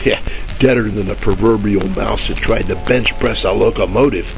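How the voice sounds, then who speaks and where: An actor playing a wisecracking, bumbling private detective in a deadpan 1940s radio noir parody. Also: male voice, lowish voice, moderate pace, creaky. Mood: sarcastic, tough. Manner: deadpan, overblown similes. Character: wisecracking hard-boiled male private detective, bumbling and sarcastic. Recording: crackly old-time radio with music underneath.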